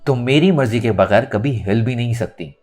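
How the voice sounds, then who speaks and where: Man playing a domineering, scornful man, lively pitch. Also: normal speed, lightly acted. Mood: threatening, scornful. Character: domineering, scornful man forcing a woman into marriage. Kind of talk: dramatic audio novel reading. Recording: music under the voice.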